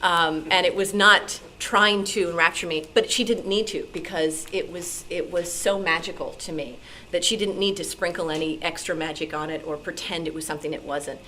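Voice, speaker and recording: A woman with a slightly low voice tells personal stories to an audience. She is from New York City and animated, slipping into remembered dialogue as she goes. There is faint noise from the meeting room around her. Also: normal speed. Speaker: radio drama actress and sound effects performer, guest speaker. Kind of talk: personal anecdotes told to a club audience. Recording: noisy room at a club meeting.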